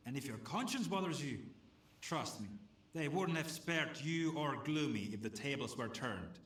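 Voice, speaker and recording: An actor playing a friendly, slangy scavenger in a video game, speaking casually with some hesitation. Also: male voice, on the high side, expressive, moderate pace, fairly bright tone, echoey room. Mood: uneasy, persuasive. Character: chatty scavenger stalker man asking a stranger for help. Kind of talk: video game dialogue acting.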